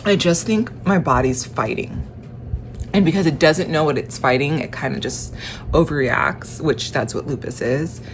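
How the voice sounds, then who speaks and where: Tired, halting woman with a low voice. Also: a little hiss or hum, rough recording. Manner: halting, many restarts and fillers, tired. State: congested, stuffy nose. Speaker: vlogger. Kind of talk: casual personal vlog.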